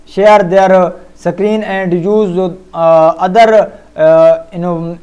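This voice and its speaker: Male tutorial presenter, high-pitched and clean-toned, reading a script with frequent stumbles. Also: a little mellow, clear, resonant tone, poor audio. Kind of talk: scripted narration read aloud.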